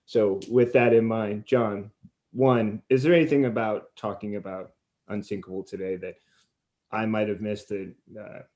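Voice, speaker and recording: A man hosting a conversational interview on a video call, speaking in wordy, deliberate, self-deprecating phrases. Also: lowish voice, steady tone, warm tone, muffled recording. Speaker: podcast host and interviewer.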